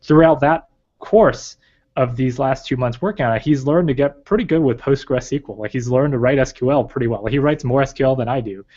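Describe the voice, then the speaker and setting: A man, raised in China with Chinese as his first language, speaks in a middling register as a guest in a relaxed interview. He thinks aloud, rambling through ums and likes. The sound is a bit muffled and lo-fi. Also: medium pitch, a little fast. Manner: thoughtful, rambling, many fillers. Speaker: guest, research advisor. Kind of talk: casual interview talk. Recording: slightly dull lo-fi recording.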